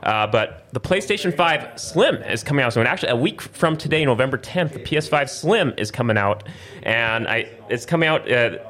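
A man's voice: mid-range voice, animated, moderate pace, fairly bright tone. A man hosting a casual chat show in a rambling, upbeat way. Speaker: show host.

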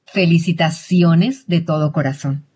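Female host, husky and low, polite formal questions. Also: unhurried, darkish tone. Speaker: interview host. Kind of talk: scripted interview questions.

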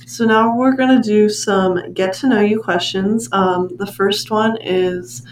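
A young woman in her late teens leads a relaxed podcast chat in a library room. Her words ring off the walls, and the mic sits back from her. A faint hum runs underneath. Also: medium pitch, a little slow, roomy sound, distant mic. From Pennsylvania, USA. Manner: chatty, laughs between questions. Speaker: student podcast host. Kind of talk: casual podcast interview.

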